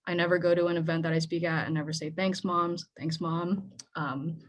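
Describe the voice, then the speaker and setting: A woman's voice: lowish voice, level tone, normal speed. Earnest young woman panel speaker. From Philippines. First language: Tagalog. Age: young adult. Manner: earnest, conversational, with frequent "right?". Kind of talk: speech at a panel event.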